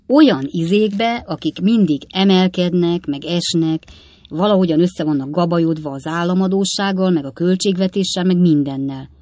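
A woman's voice: fairly low pitch, dark tone, no echo, lightly acted. A woman voicing an old coachman father in a storybook reading, grumbling and reasoning pompously with emphatic indignation. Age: older.

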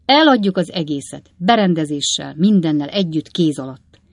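A woman's voice: deep voice, animated, no room sound, clean studio sound. A woman voicing an old coachman father in a storybook reading, grumbling and reasoning pompously with emphatic indignation. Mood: earnest. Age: older.

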